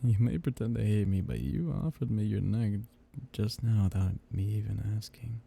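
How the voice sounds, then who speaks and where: A man playing a seductive, possessive vampire captor in an intimate ASMR roleplay, teasing and condescendingly tender in a breathy, gravelly murmur. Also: on the low side, animated, moderate pace, mellow, full character voice. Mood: seductive, hungry.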